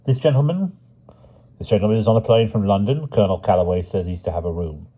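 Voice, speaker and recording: A man's voice: fairly low pitch, expressive, fast, husky, lo-fi sound. A man voicing a stiff male officer who talks in a clipped, businesslike way. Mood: firm.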